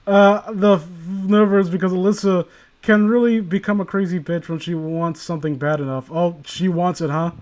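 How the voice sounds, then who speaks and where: A man's voice: high voice, fairly even intonation, moderate pace, darkish tone. A man hosting a comedic read-along, reading a story aloud and riffing on it irreverently, prone to breaking into laughter. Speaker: host reading a creepypasta aloud with friends.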